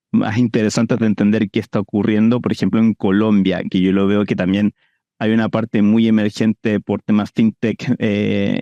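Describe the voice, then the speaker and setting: Chilean guy being interviewed, lowish mellow voice, explaining stuff conversationally. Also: no echo, highs cut off. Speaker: interview guest, venture capital investor.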